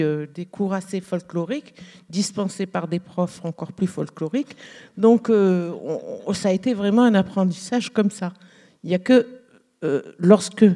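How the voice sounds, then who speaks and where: Mellow-voiced woman in her sixties. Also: mid-range voice. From Algeria. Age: 60s. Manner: measured, thoughtful, with frequent hesitations. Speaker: writer on a panel. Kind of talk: reflective panel talk. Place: theatre stage at a public literary event.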